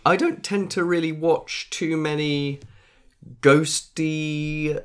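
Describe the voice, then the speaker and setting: A man in his thirties with a cold and a raspy voice, co-hosting a podcast in casual chat, thinking aloud as he goes. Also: on the high side, fairly even intonation, slow. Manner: chatty, thinks aloud with restarts. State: has a cold. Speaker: podcast co-host.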